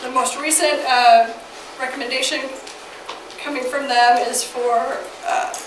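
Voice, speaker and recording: A woman with a Midwestern American accent, getting over a cold with a slightly scratchy voice, briefs a city council as planning staff. Her delivery is steady and informative, with occasional fillers. She sits a bit high and away from the mic, and the chamber adds echo and a noticeable noise floor. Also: a little slow. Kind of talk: staff report presentation. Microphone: far from the mic. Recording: echoey, distant, noisy chamber audio.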